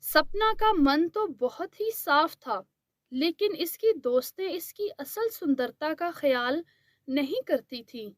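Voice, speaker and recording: A woman's voice: high voice, expressive, takes it slowly, no echo, clean studio sound. A woman narrating a scripted children's fairy tale as its storyteller.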